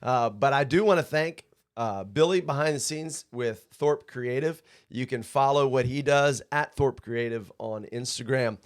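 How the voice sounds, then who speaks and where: Male podcast host, mid pitch, upbeat and chatty, laughs easily, casual studio interview delivery. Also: unhurried, hardly any pauses, smooth.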